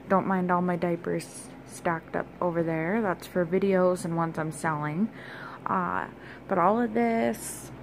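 Slow, gravelly-voiced woman listing groceries. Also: on the low side, darkish tone, slight background noise. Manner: chatty, listing items with fillers. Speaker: vlogger. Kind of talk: casual grocery haul show-and-tell. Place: home kitchen and dining room, indoors.

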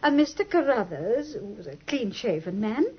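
A woman's voice: on the high side, big pitch swings, normal speed, slightly rough, exaggerated voice. An actress playing a polite, earnest, well-bred young lady in a 1950s radio drama. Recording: dull old 1950s radio recording.

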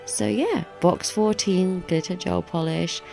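A young woman with a cold talks in a nasal, sore-throated voice, gushing over everything she shows. Her voice sits low and mellow, with a gravelly edge. Soft music plays faintly beneath her. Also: slow pace, poor audio. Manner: gushing, enthusiastic. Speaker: nail vlogger. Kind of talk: voiceover for a product unboxing.

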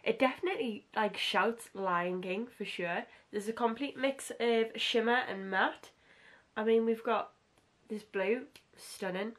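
Young woman beauty vlogger, slightly higher voice with a husky edge, gushing and chatty, talking close to camera in a bedroom. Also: measured pace. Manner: chatty and gushing, heavy use of "like". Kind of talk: casual makeup tutorial chat. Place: small bedroom.

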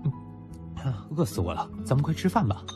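A man's voice: medium pitch, sing-song, fairly quick, natural voice. An actor playing a young male student in an audio drama, narrating reflectively as well as speaking in dialogue. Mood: boastful teasing. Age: young adult. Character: young male student, nostalgic, proud, teasing. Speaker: lead actor and first-person narrator. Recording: music behind the voice.

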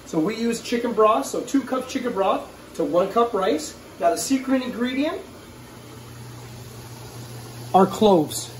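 Male cooking host, upbeat and instructional, high-pitched voice, distant roomy recording with faint background noise. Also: expressive, moderate pace. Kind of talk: casual instructional cooking demonstration to camera. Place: home kitchen, indoors.

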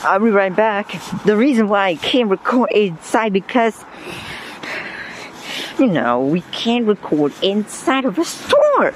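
A man's voice: high voice, very animated, a little slow, slight background noise. Young German-accented man vlogging outdoors. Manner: rambling, self-deprecating, swears casually. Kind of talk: casual walking vlog. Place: outdoors on streets and on a bus. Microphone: phone held while walking.

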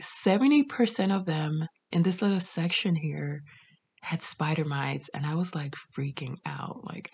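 A woman in her thirties narrating her home cleaning vlog in a candid, chatty way, trailing into soft asides. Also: deep voice, gravelly, a little hiss or hum. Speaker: cleaning vlogger. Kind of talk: casual voice-over narration.

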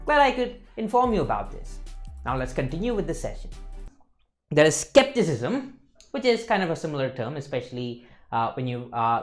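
A young man with an Indian accent delivers an instructional lecture as an exam tutor. His pitch swings widely from phrase to phrase as he stresses points and keeps checking that listeners follow. He is recorded in a studio. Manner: emphatic, with frequent checking tags like "okay, folks".

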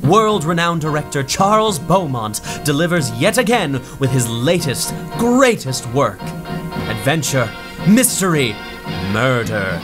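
A man announcing a sponsor break in old-time radio style, dramatic and drawn-out. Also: on the high side. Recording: music bed under voice.

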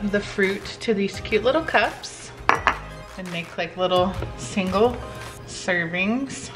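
A woman's voice: mid-range voice, takes it slowly, loud music behind the voice, rough recording. A woman in her forties chatting informally and giving recipe instructions in a home kitchen, with a teasing, casual manner. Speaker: home cooking vlog host.

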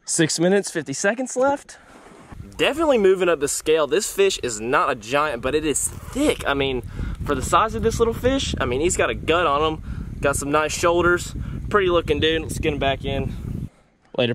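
A man's voice: fairly high pitch, expressive, normal speed, fairly bright tone, slight background noise. A young man, chatting upbeat to viewers while fishing from a small boat outdoors, recorded on an action camera. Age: young adult. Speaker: fishing vlogger. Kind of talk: casual vlog commentary.

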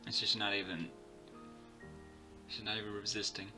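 A man's voice: lowish voice, flat delivery, moderate pace, sharp tone, soft background music, poor audio. A young man giving casual, sparse reaction commentary as host of a video. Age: young adult. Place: small bedroom, indoors.

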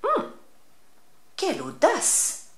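A woman voicing a cackling old witch who speaks in a theatrical, drawn-out way, in an echoey room. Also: very high-pitched, huge pitch swings, a bit bright, slight background noise, voice pushed up, heavily acted. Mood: menacing.

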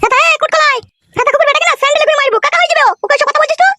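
A performer voicing a sharp-tongued village mother in a comic cartoon, shrill and scolding. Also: racing, very noisy.

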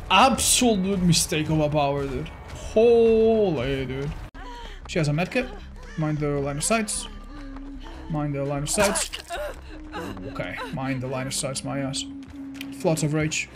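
Guy streaming a game, super animated voice jumping up and down, repeats himself when excited, music behind. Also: a little high, measured pace, pauses often. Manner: animated, exclamatory, repeats phrases for emphasis. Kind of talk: excitable live gameplay commentary to chat. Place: indoor streaming room.

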